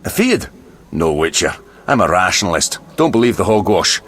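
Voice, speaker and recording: A man's voice: low-pitched, very animated, normal speed, a bit bright, exaggerated voice. A man playing a crude, cynical dwarf mercenary in a video game, talking in a blunt, sardonic, earthy way. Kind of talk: video game dialogue acting.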